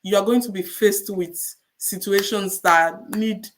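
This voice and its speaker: A woman with a Nigerian accent talks in a middle register, a nurse guest on a podcast. She gives advice in a measured, encouraging way and comes back to her main points so they stick. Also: medium pitch, steady tone.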